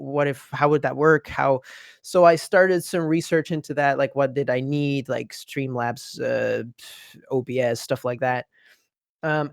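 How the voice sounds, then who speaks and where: A young man with a Dutch accent, a native Dutch speaker, chatting as a podcast guest in an upbeat, gushing way with many quick restarts. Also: fairly high pitch, no room sound, studio quality. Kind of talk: casual interview chat.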